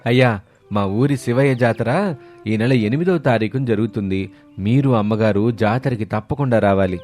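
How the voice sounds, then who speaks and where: A man voicing a haughty, impatient village visitor in an animated moral story. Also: medium pitch, moderate pace, lightly acted. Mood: deferential. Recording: music behind the voice.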